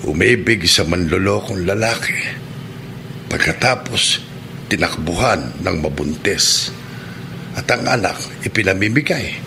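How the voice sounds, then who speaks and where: A brooding Filipino neighbor muses in a radio drama monologue. The voice is low and creaky and moves slowly, with noise behind it. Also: male voice. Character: brooding neighbor recalling others' sad lives.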